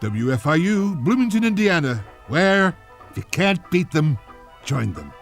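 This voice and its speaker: A man voices a playful scripted radio station spot. His voice is a bit high and swings dramatically up and down over background music. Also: very animated, a little slow. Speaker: station identification announcer.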